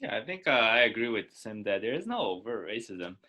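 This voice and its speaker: A man co-hosting a casual discussion, explaining his view in a measured way. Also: lowish voice, sing-song, pushed and bright. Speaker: co-host.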